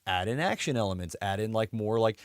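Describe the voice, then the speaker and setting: A man's voice: fairly low pitch, expressive, fairly quick, no echo, clean studio sound. A man co-hosting a podcast, talking casually about movies with loose, conversational phrasing. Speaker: podcast co-host.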